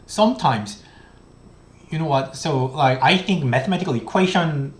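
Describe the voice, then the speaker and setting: A man in his thirties, a native Korean speaker with a Korean accent, lecturing on economics in a halting, step-by-step way with many restarts. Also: a little high, echoey room. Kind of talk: recorded online lecture. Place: small room indoors.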